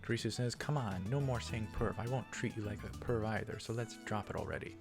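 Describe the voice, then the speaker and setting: A man's voice: fairly low pitch, brisk, vocal fry, over music. A man hosting a let's play, reading visual novel text aloud and adding casual comments.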